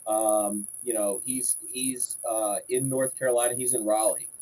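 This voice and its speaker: A man's voice: mid-range voice, fairly even intonation, unhurried, dark tone, a little hiss or hum. A man in his forties from Florida, a podcast guest chatting and telling long rambling stories about his life. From Southwest Florida, United States. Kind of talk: casual chat.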